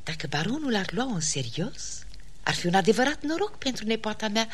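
An actress playing a polite, respectable aunt with courteous, mannered speech in a theatrical radio comedy style. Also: female voice, medium pitch, very animated, slightly rough. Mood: courteous, eager. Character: polite, eager, respectable aunt, a genteel lady.

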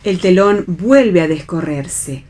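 A woman's voice: fairly low pitch, animated, slow, darkish tone. A woman with an Argentine accent reading stage directions as the narrator of a radio play. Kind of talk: scripted radio theatre narration. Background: guitar music.

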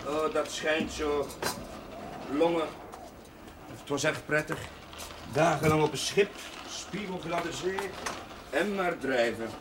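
Excitable young chaplain, noisy soundtrack. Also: male voice, on the high side, slow, pauses often. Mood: wry, reflective. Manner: hesitant, musing, easily worked up. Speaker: actor in a television drama series. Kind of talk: naturalistic television drama dialogue. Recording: noisy old TV soundtrack, clattering dishes.

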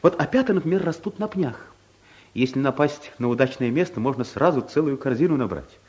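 An actor playing a timid, bumbling office clerk with a hesitant, earnest manner in a vintage radio play. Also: male voice, mid-range voice, animated, fairly quick, darkish tone, natural voice. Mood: nervous, earnest. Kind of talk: Soviet radio play acting.

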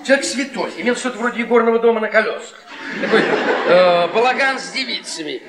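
An actor playing a bitter middle-aged husband who tells cruel, witty stories with theatrical mockery in a Russian radio drama. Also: male voice, high-pitched, brisk, lightly acted. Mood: mocking storytelling. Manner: theatrical, sardonic, taunting. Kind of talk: Russian stage-style radio drama acting. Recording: echoey theatre, distant mic, audience noise.

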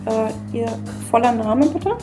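A woman's voice: on the high side, a little slow, lightly acted. A woman playing a blunt, officious job centre clerk in a naturalistic radio drama. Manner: officious, repeats answers back. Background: music.

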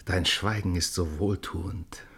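Radio play casting: worldly, weary dramatist, low-voiced, resigned, quietly cynical. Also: male voice, steady tone, takes it slowly, husky, full character voice. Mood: weary, irritated. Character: weary, worldly male playwright and troupe leader. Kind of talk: intimate German radio play acting.

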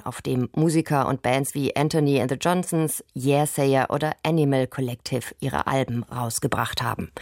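A woman's voice: sits low, darkish tone, dry recording, clean studio sound. A woman reading scripted narration about music as a radio presenter.

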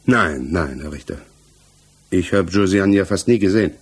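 An actor in a 1960s German radio play, playing an accused married village mechanic who answers earnestly, evasively and haltingly. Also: male voice, deep voice, expressive, breathy edge. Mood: hesitant, explaining. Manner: hesitant, halting, defensive.

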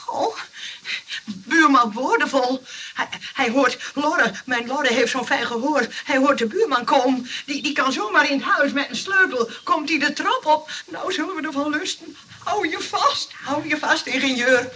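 Flustered, bright-voiced fussy nurse. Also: female voice, high voice, unhurried, distant mic. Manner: flustered, stammering, indignant. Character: fussy, easily hurt nurse, a flustered landlady. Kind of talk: old radio play acting.

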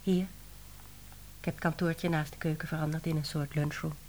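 An actress playing a wry, harried middle-aged woman editor in a Dutch radio play, expressive and exclamatory. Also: low voice, moderate pace, a little hiss or hum. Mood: reassuring.

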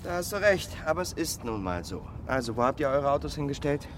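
Anxious, lively-voiced bank robber. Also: male voice, on the high side, a little fast, background noise. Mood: practical. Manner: urgent, pressing, expressive. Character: anxious male bank robber eager to flee. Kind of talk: German radio crime drama acting.

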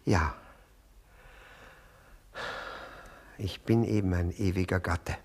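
An actor playing a servile, obsessive, self-abasing widower in a 1960s radio drama, halting and pleading, repeating his words. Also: low-pitched, steady tone. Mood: eager, servile. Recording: 1960 radio play recording.

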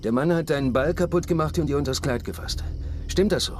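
A man's voice: fairly high pitch, fast, noisy background, lightly acted. An actor playing a small-town father in a radio crime drama, restrained but anxious as he questions and decides. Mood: stern. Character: small-town father, hesitant but set on confronting a stranger.

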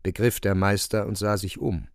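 A man's voice: fairly low pitch, steady tone, moderate pace, vocal fry, dry recording, studio quality. A man giving solemn, theatrical literary narration for a radio play.

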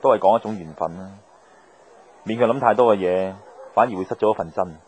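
A man's voice: lowish voice, fairly quick, a little mellow, slight background noise, natural voice. An actor playing an easygoing, philosophical male wanderer in a Cantonese radio drama.

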